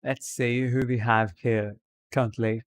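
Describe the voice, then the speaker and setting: A man's voice: medium pitch, level tone, unhurried, no room sound. A man chatting casually to viewers on a livestream in a put-on British Received Pronunciation accent, talking to them directly and often repeating himself. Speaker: live streamer.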